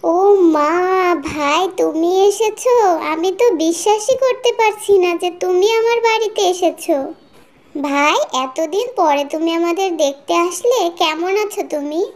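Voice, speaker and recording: Woman voicing a very high, devoted cartoon sister. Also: a little slow. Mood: delighted, fond. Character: devoted, hospitable younger sister, village housewife. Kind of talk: Bangla cartoon dialogue.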